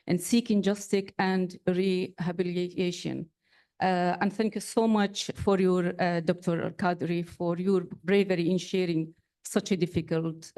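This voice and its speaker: Audience member, woman from Syria, Kurdish speaker, polite and hesitant, lowish mellow voice. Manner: polite, many "uh" fillers. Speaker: audience member asking a question. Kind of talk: audience question at an event. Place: event hall.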